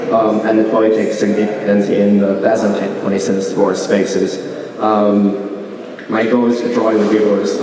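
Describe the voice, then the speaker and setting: A man talks through his slides in a fairly low voice, stopping often for ums and uhs. He is picked up from some distance in a big, very reverberant gallery hall with a faint hiss behind him. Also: darkish tone. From Beijing, China. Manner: hesitant, many fillers and restarts. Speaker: visiting artist. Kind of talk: artist talk with slides. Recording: echoey gallery hall from far off.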